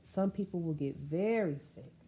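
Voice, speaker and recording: A woman reading a rhyming picture book aloud as a storyteller, expressive and emphatic, with questions and asides to her listeners. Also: fairly low pitch, normal speed, breathy edge, rough recording.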